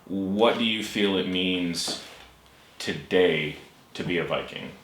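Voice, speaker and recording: A man hosts a relaxed interview, circling his questions with ums and restarts. His voice is low yet bright and forward. The room sounds echoey, with a faint hiss behind him. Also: slow. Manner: warm, hesitant, many ums and restarts. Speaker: host. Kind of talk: casual interview. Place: room with bookshelves, at a table.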